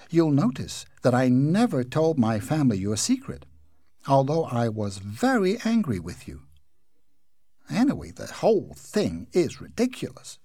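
A man's voice: medium pitch, a little mellow, husky, no room sound, clean studio sound, heavily acted. A man voicing a prim, indignant young American girl who scolds sharply.

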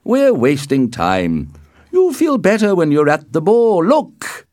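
A man's voice: on the high side, wildly swooping pitch, slow, a little mellow, dry recording, clean studio sound, pitched above the performer's own voice, heavily acted. A man voicing a playful, impatient young male friend in a storybook, exclaiming and urging boisterously. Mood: excited, urging.

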